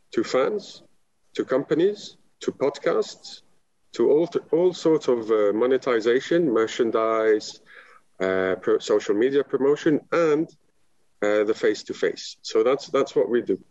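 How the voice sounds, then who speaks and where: A man's voice: mid-range voice, unhurried, darkish tone, no echo. Eager man from Lebanon, dull remote line. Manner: enthusiastic, rambling, frequent fillers. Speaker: guest, business founder. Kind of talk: casual podcast chat.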